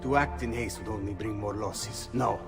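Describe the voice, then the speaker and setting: Male guild leader, Italian accent, measured and commanding, game cutscene in a reverberant hall over music. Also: fairly low pitch, gravelly. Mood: grave, resolute. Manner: measured, formal, deliberate. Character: courteous, shrewd Venetian thieves' guild leader, measured and commanding. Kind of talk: video game voice acting.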